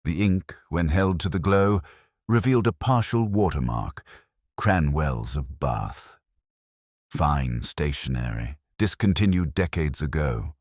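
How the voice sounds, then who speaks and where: Man as a very deep-voiced, weary detective narrator. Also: animated, no echo, clean studio sound, heavily acted. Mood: weary, pensive. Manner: measured, literary, brooding. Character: weary, pensive male detective inspector narrating his case. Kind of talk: scripted first-person mystery narration.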